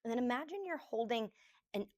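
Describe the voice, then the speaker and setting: A woman yoga teacher leading a guided meditation, giving gentle, unhurried instructions in short phrases with pauses between them. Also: fairly high pitch, lively intonation.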